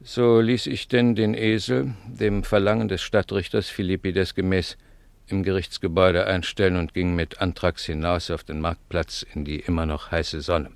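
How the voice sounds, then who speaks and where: An actor playing a pompous, self-righteous lawyer in a 1950s German radio play, declaiming and lecturing with rhetorical flourish. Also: male voice, sits low, flat delivery, normal speed, creaky, no room sound. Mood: grand, declamatory. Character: pompous, self-righteous male lawyer, preaching high principle. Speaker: advocate character narrating and arguing.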